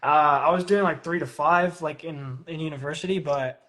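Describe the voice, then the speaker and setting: A man's voice: fairly high pitch, normal speed, a bit bright. A young man hosting a casual phone-streamed interview, hesitant and full of fillers. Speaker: interviewer host. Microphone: phone on a live stream.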